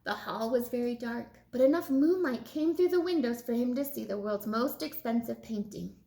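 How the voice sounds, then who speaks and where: A woman reading a children's story aloud in expressive narration. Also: a little high, normal speed, gravelly, reverberant. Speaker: storybook reader.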